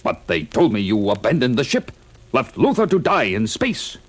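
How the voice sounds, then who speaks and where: A man's voice: medium pitch, huge pitch swings, moderate pace. An actor playing a grave, dismayed male President, secretly an impostor, in an old superhero audio drama.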